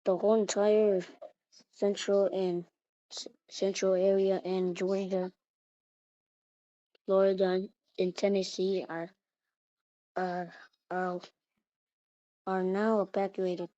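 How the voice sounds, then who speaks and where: A woman reading out an improvised mock emergency alert as the announcer, in a halting way with repeated phrases. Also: mid-range voice, level tone, warm tone, dry recording. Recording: muffled lo-fi recording.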